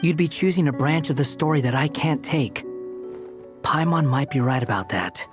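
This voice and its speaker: A man's voice: on the high side, moderate pace, over music, natural voice. A man playing an aloof, sardonic young man in a video game, with a dry, haughty delivery. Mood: measured.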